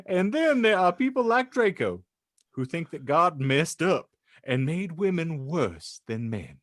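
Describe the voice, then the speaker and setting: A man's voice: fairly high pitch, sing-song, unhurried, no echo, clean studio sound, pitched above the performer's own voice. A man voicing a self-righteous, indignant boy hero in a declamatory fan fiction parody reading. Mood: indignant, preachy.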